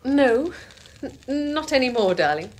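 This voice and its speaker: Husky, high-voiced British young wife. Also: female voice, big pitch swings, a little slow, full character voice. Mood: evasive. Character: young wife, brittle, anxious and devoted to her cottage. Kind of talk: radio horror drama acting.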